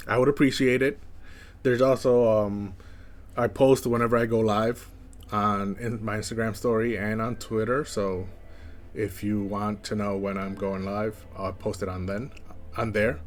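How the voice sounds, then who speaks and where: A young man with a slight lisp, chatting casually as a first-time streamer in a flat, monotone way with many fillers. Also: on the low side. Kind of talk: casual chat.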